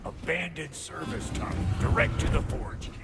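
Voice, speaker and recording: A man voicing a brash, cantankerous dwarf blacksmith in a video game, crude and blustering in a gravelly voice. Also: fairly high pitch, moderate pace, loud music behind the voice. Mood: cocky, grumbling. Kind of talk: animated video game acting.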